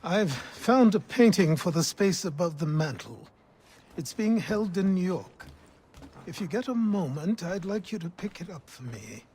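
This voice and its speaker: Slow, high-pitched old mentor. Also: male voice, very animated, darkish tone, husky, exaggerated voice. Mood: calm instructing. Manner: measured, polite, instructing. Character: old man, commanding mentor, measured and dry. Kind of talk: video game character dialogue.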